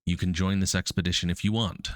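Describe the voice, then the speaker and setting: A man reading as a courteous garrison captain, deep-voiced. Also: little pitch movement, quick pace, no room sound, clean studio sound, lightly acted. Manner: polite, formal. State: stuffy with a cold.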